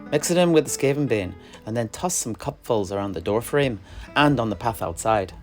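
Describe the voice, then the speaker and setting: A man plays a roguish, cheerful alchemist in a fantasy audio drama. His talk is breezy and folksy, with lively swings in pitch. Faint music plays underneath. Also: fairly quick, natural voice. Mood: brisk, friendly. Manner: folksy, breezy, dialect grammar. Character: cheerful brash wandering male alchemist, blood-spattered, unbothered. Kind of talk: in-character audio drama dialogue.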